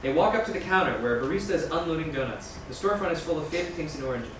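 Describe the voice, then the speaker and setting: Casting: male reader of stage directions, quick scripted narration, far from mic in a noisy room. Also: on the high side, animated. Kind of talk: scripted narration at table read. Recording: distant, very echoey room with background noise.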